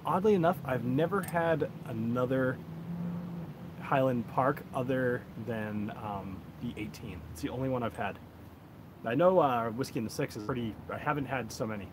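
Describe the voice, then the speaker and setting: Laid-back man chatting over outdoor noise. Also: medium pitch. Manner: laid-back, rambling, chuckles often. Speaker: whiskey reviewer, livestream host. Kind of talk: casual livestream chat. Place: outdoors on a backyard deck. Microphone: cell phone on a tripod. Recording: noisy outdoor phone recording.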